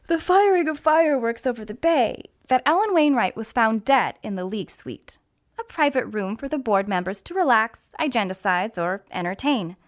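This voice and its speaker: Female audiobook narrator, higher register, highly expressive scripted storytelling. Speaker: actor reading a mystery short story.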